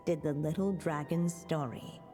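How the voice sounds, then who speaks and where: A woman acting as a gentle, solemn fairy-tale narrator in a video game, measured and tender, in a long reverb. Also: sits low, natural voice. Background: faint music.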